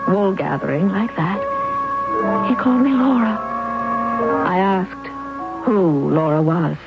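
A woman's voice: mid-range voice, lively intonation, slow. An actress playing an anguished young wife in a wheelchair who narrates her own story, in a 1970s radio drama. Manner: intense, pleading, breaking into despair. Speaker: narrator and lead character. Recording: muffled old radio broadcast with music.

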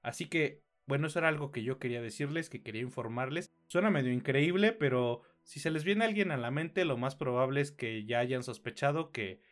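A man with a Mexican accent talks as a podcast co-host. His delivery is casual and conversational, with a blunt way of putting things and crude slang. His voice sits at an average pitch for a man. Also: brisk, hardly any pauses. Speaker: podcast co-host reviewing films and series. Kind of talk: casual review chat.

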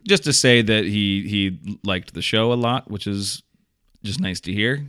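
A man's voice: fairly low pitch, unhurried, fairly bright tone, smooth, no echo, clean studio sound. A man co-hosting a podcast in casual comedic conversation, speaking in a wry, jokey way. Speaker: podcast co-host, stand-up comedian.

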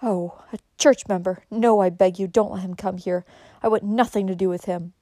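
Storybook role: dying young woman, embittered and weary, anguished drawn-out delivery, performed by a female narrator, mellow tone, very dry sound. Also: mid-range voice, steady tone, no echo, close to an everyday voice. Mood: scornful.

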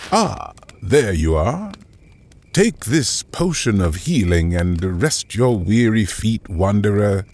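A man voicing a calm fantasy keeper who tends weary wanderers, speaking in a soothing, theatrical game-interlude manner. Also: fairly low pitch, slow pace, heavily acted. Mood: soothing, welcoming.

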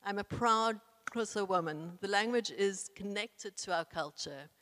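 Woman, young adult, South African accent, isiXhosa native, reading an essay, earnest and proud, mid-pitched, reverberant room. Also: unhurried, a bit bright. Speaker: speaker reading her own essay. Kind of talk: reading a personal essay aloud. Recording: echoey room with long reverb.

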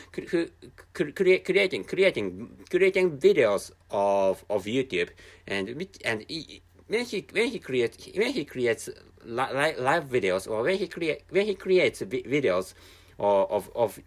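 A man with a Japanese accent, a native Japanese speaker, talking alone on his own podcast and repeating words as he searches for them. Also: a little high. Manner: halting, many restarts and repeated words. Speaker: podcast host. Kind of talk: casual solo podcast monologue. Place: at home, drinking a canned drink while recording.

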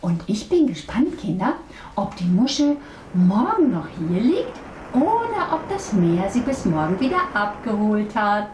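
A woman playing a friendly, caring young female pirate, talking gently and expressively to children in a puppet play. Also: mid-range voice, big pitch swings, measured pace. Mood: warm storytelling. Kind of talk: children's puppet-theatre storytelling. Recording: echoey room, distant mic, faint noise.

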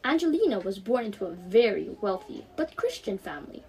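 A teenage young woman reading her own story aloud in an animated storybook style. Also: medium pitch, brisk, poor audio.

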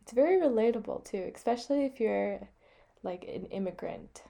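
Mellow young American woman, casual chat. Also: medium pitch, lively intonation, moderate pace, gravelly. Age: young adult, about eighteen. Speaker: podcast co-host.

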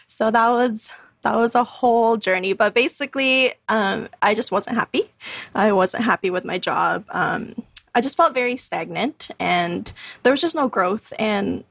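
A woman in her twenties, a podcast guest telling her story in a chatty, animated way, full of fillers and laughs. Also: mid-range voice, a little slow, vocal fry, no room sound. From Canada. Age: 20s. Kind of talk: casual interview storytelling.

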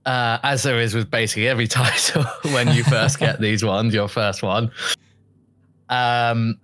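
A man's voice: mid-range voice, fairly even intonation, normal speed, fairly bright tone, no echo. A young man telling his story as a podcast guest in a casual, chatty way, often laughing and quoting himself.

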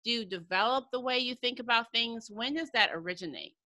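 A woman's voice: a little high, a little fast, pushed and bright, no room sound. An American woman hosting a podcast in a conversational interview style. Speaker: podcast host.

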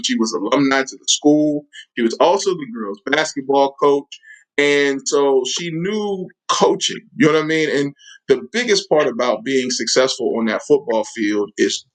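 A man's voice: medium pitch, low-quality recording. A man, a football coach, talking earnestly and emphatically in an interview. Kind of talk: casual interview chat.

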